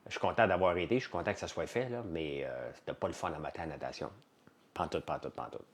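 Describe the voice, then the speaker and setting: Man, low voice, Quebec French accent, casual livestream chat, blunt, rambling, colloquial. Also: fast. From Quebec, Canada. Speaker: livestream host.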